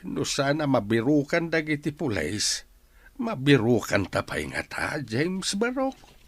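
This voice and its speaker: Guy playing a husky ilocano dad in a radio drama, middle-aged, grieving and resentful, pitch swings a lot. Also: big pitch swings, measured pace, no echo, full character voice. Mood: anguished. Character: grieving, resentful father of a missing son.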